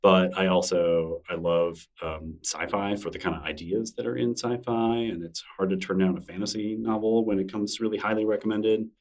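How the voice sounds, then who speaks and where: A middle-aged man with a deep, low voice chats as a podcast guest. He talks in a loose, self-deprecating way, often restarting his sentences. He sits a little off the mic. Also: moderate pace, hardly any pauses, distant mic. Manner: relaxed, rambling, self-deprecating with frequent restarts. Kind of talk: casual interview conversation.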